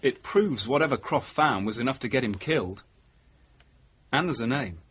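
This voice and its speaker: Husky, dogged British detective sergeant. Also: male voice, mid-range voice, expressive, a bit bright. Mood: quietly accusing. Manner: terse, measured, accusing. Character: dogged, level-headed male detective sergeant confronting his boss. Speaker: actor in radio drama. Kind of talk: 1980s British radio drama acting. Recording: dull, muffled old radio recording.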